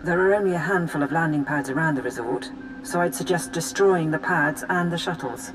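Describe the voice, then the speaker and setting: Game role: efficient female logistics officer, low-pitched, clipped briefing manner, heard in a big reverberant space. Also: a little fast, background noise. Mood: brisk, businesslike. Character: brisk, efficient female military logistics and operations coordinator. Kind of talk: video game voice acting. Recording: reverberant hall, music behind.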